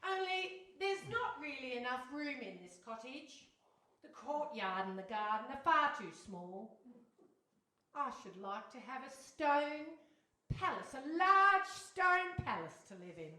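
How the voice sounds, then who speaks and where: A woman acts a bossy radio-play wife who is never satisfied. Her voice is high and very animated, with deliberate, emphatic pacing, heard a little far off in a roomy hall. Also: exaggerated voice. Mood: scheming, demanding. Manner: emphatic, gushing, insistent. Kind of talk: live radio play acting. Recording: echoey hall, distant microphone.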